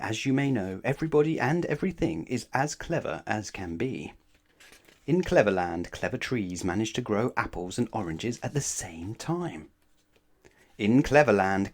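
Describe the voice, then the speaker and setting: Casting: male storybook reader for kids, expressive, mid-pitched, highly varied intonation. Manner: expressive, addresses listeners with questions. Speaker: storybook narrator. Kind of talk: children's read-aloud narration.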